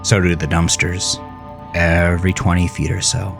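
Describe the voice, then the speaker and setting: A man reading his own horror story as a dramatic, suspenseful second-person narration with drawn-out emphasis. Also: sits low, flat delivery, gravelly. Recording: music behind the voice. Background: music.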